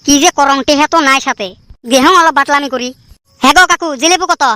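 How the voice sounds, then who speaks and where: High-voiced woman, cheeky banter, bright and quick. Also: dry recording, studio quality. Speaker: cartoon channel creator and voice of several characters. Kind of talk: cartoon comedy voice acting.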